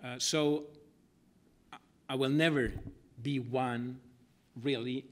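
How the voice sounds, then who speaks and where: A man's voice: mid-range voice, fairly even intonation, takes it slowly. Hesitant middle-aged man at a podium. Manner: hesitant, many restarts and fillers. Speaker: speaker at an academic forum. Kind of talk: answering audience questions at a talk. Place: lecture room with audience.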